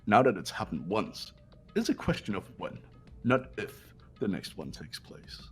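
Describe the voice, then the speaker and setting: A man's voice: on the low side, sing-song, a little slow, fairly bright tone, slightly rough, soft background music, heavily acted. Man voicing a cynical student with swooping intonation. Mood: accusing. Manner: sardonic, blunt. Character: cynical, scornful male high school student. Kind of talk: amateur game voice acting.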